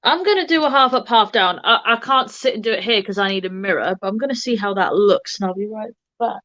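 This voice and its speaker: A woman's voice: medium pitch, fast, a bit bright, dry recording, highs cut off. A woman joining a live stream by video call, chatting in an upbeat way about her outfit and hair. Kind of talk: casual chat.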